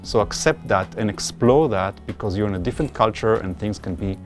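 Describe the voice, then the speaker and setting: Earnest low-voiced man giving advice. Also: a little fast, soft background music. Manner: earnest, advising, emphatic. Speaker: physician-scientist mentor. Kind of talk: documentary interview.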